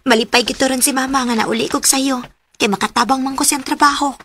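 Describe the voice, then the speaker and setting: A woman's voice: high voice, steady tone, fairly quick, fairly bright tone, slight background noise. A woman playing an earnest, dutiful teenage schoolgirl daughter in a radio drama, with a Cebuano accent. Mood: dutiful, modest.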